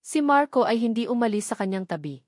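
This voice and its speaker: A woman's voice: a little high, expressive, fast talker, no echo, studio quality. A woman storyteller reading a scripted dramatic story as narration.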